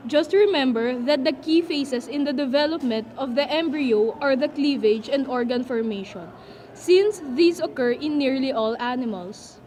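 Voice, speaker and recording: A woman's voice: high voice, normal speed, darkish tone, a little hiss or hum, natural voice. A woman playing a doctor who teaches children in a scripted puppet show. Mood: instructive. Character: doctor reminding young twins of lesson, puppet show.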